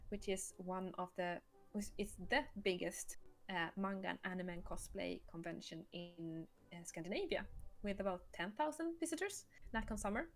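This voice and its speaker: A woman hosting a casual livestream, explaining and answering questions about a game she created, a little coyly. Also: mid-range voice.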